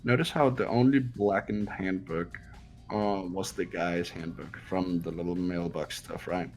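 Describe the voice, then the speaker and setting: Low-voiced man in casual game chat. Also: steady tone, a little slow, darkish tone, soft background music, poor audio. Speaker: player in a group playthrough.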